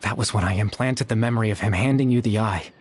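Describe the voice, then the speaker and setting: A man voicing a young man who exists only in memory, calm and apologetic, speaking in a measured, quiet, explanatory way. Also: on the low side, a little hiss or hum, lightly acted. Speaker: game character. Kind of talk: video game voice acting.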